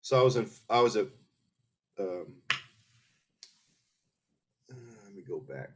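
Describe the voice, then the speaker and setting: A man's voice: fairly low pitch, big pitch swings, slow pace, a little hiss or hum. A middle-aged man from Philadelphia, an army veteran guest in an interview, talking earnestly and explaining things step by step with many restarts. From Philadelphia, USA. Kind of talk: casual interview conversation.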